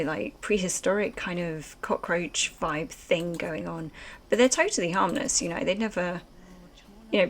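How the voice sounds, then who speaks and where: Chatty low-voiced woman streamer. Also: a little hiss or hum. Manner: rambling, chatty, many fillers like "like" and "um". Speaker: live streamer. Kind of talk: casual let's-play commentary chatting with chat.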